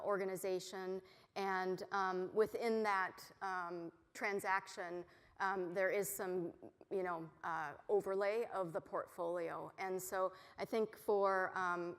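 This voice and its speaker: A middle-aged woman from the United States gives a conference keynote, sure of herself and upbeat, and she pauses now and then on an um. Her voice has an everyday middle pitch for a woman. It is picked up on a mic and rings with the long reverb of a big conference hall. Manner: confident, upbeat, frequent ums. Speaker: keynote speaker.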